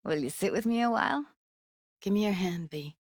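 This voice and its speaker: A woman's voice: medium pitch, expressive, fairly quick, no echo, studio quality, close to an everyday voice. A woman voicing a young, streetwise techie in a video game, blunt and guarded with tenderness underneath, speaking in casual, clipped slang. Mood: teasing, affectionate. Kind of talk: naturalistic video game voice acting.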